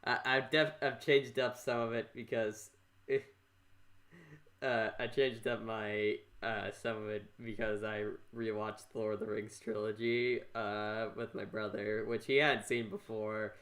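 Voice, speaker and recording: An American man from Minnesota hosting a casual podcast interview, rambling and halting as he repeats himself. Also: on the low side, flat delivery, fairly bright tone. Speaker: podcast host.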